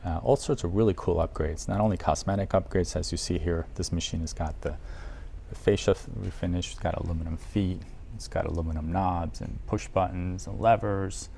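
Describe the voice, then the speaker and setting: A man's voice: sits low, fairly even intonation, fairly quick, creaky, dark tone. A man presenting a casual product guide in an audio shop, talking informally and with enthusiasm for the gear. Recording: noticeable background noise, room ambience.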